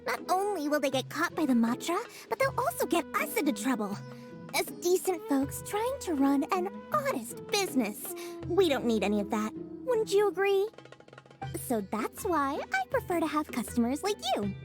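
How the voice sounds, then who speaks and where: High-pitched, sly little merchant girl. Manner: theatrical, wheedling, smug salesmanship. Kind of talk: video game voice acting.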